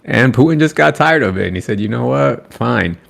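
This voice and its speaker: A man chatting on a podcast, arguing his point with lively acted-out quotes. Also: mid-range voice, fairly quick, creaky. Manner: animated, argues with acted quotes. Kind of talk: casual podcast chat.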